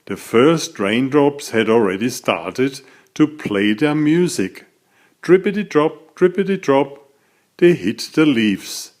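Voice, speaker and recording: A man reading a children's story aloud as its author, in an expressive, deliberate storytelling manner. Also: medium pitch.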